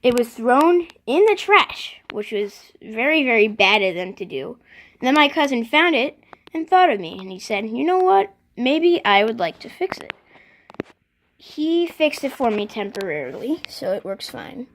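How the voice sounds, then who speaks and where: A vlogger casually showing off a collection, speaking unscripted and haltingly, often stopping to clear the throat. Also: mid-range voice, big pitch swings, fairly bright tone, a little hiss or hum. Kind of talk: casual unscripted show-and-tell.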